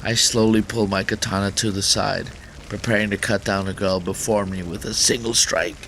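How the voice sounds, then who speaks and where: A man's voice: lowish voice, steady tone, moderate pace, a bit bright. A man hosting a gaming channel, reading a visual novel aloud as dramatic narration, with faint noise in the recording.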